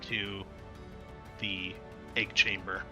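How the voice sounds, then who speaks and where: A man narrating and refereeing a tabletop roleplaying game as game master, in an informal, excitable way. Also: sits low, extremely slow, gravelly, over music.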